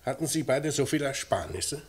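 Guy acting police inspector in old radio crime play, terse n probing, medium pitch, bit of noise. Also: expressive, a little fast, lightly acted. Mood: probing, insistent. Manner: terse, questioning, clipped. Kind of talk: mid-century German radio crime drama acting. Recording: noisy old radio drama recording.

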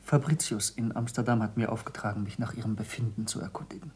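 A man acting as a stiffly formal visitor in a radio play, talking very quickly. He sounds reserved, set back from the microphone in a roomy space with some noise. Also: on the low side, a little mellow, lightly acted. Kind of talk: German radio drama acting. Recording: roomy, distant, noisy radio-play scene.

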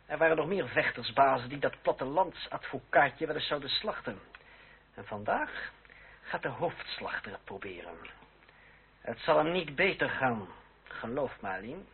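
An actor playing a young lawyer and duellist who is composed, ironic and defiant, in a period radio drama. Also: male voice, mid-range voice, lively intonation, normal speed, vocal fry, husky, heavily acted. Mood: cool irony. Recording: tinny, band-limited old radio recording with hiss.

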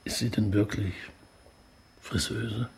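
Courteous police detective, slightly creaky voice. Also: male voice, lowish voice, steady tone, takes it slowly, a little hiss or hum, exaggerated voice. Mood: quietly probing. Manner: polite, roundabout, musing. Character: courteous, opera-loving male police detective, gently probing. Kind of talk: naturalistic radio drama acting.

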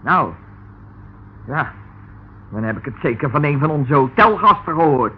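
A woman's voice: low voice, lively intonation, moderate pace, breathy edge. An actress playing a brash, scornful woman under police questioning in a radio drama. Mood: evasive. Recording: tinny, band-limited old radio recording.

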